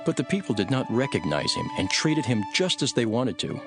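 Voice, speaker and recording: An actor playing an authoritative, earnest holy teacher in a dramatised scripture recording. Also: male voice, medium pitch, big pitch swings, brisk. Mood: stern. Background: music.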